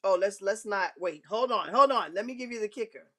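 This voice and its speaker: A woman hosting a live online show, telling a candid personal story to her viewers in a big, carrying voice, frank and self-mocking, often clearing her throat. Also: mid-range voice, fairly quick, pushed and bright.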